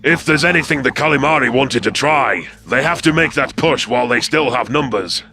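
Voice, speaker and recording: A man acts out a scrawny reptilian alien officer from a sci-fi audio drama. The voice is gravelly and sharp, mid-pitched for a male. He reports in a measured, formal military manner, analytical and respectful. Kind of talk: sci-fi audio story acting.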